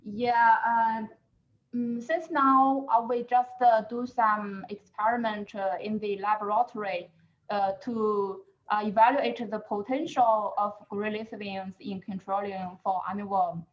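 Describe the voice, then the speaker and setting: A woman's voice: medium pitch, fairly even intonation, unhurried, highs cut off. A woman researcher answering questions on a video call, hesitant and full of fillers as she searches for words. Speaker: researcher presenter. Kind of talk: answering questions in a second language.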